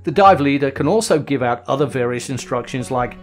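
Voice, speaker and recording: A middle-aged man hosting a diving lesson, giving calm, scripted voice-over instructions and acting out signals as quoted lines. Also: medium pitch, fairly quick, faint music behind. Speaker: host.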